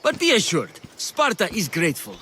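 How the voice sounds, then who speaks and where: A man's voice: sits high, huge pitch swings, brisk, sharp tone, slight background noise, heavily acted. An actor playing an earnest, formal male Spartan soldier handing out orders in a video game. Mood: formal, assured. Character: male Spartan soldier, urgent, grudging quest giver. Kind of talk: dramatic game cutscene acting.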